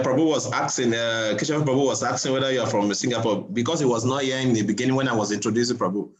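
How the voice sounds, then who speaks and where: A man hosting a question session, effusive and polite, pausing often on "uh". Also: fairly low pitch, a bit bright, rough recording. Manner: effusive, polite, with frequent "uh" pauses. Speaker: host moderating questions. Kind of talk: moderating a Q&A session.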